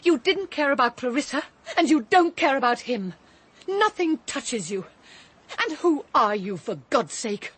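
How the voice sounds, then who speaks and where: An actress playing a disdainful, sharp-tongued British house guest in a radio drama, haughty and sardonic. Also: female voice, high voice, very animated, measured pace, full character voice. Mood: scornful.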